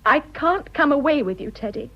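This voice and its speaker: An actress playing an unhappy, conscience-stricken young wife with an upper-class English accent in an earnest, emotionally fraught radio drama style. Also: female voice, high voice, animated, normal speed. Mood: wretched, resolute. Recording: muffled, dull radio drama recording.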